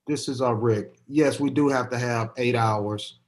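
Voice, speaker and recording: A man's voice: mid-range voice, steady tone, measured pace. A man speaking as a board member, giving plain, informal remarks during a meeting. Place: virtual meeting call.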